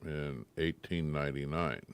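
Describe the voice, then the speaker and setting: Older man, low-pitched voice, folksy, plainspoken, casual radio chat, brief replies. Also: measured pace, smooth, dry recording. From rural Washington state, United States. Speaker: radio show participant. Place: radio studio.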